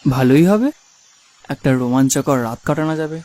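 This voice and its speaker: A man voicing a jolly, teasing young male friend in a cartoon, playful and quick to laugh. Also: mid-range voice, animated, warm tone, lightly acted. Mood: cheerful. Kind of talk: cartoon voice acting.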